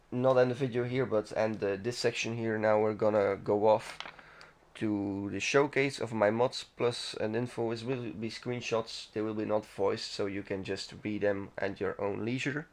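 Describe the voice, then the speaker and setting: A man hosting a gaming video, giving casual, unscripted walkthrough commentary in a rambling, self-interrupting manner. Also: on the low side.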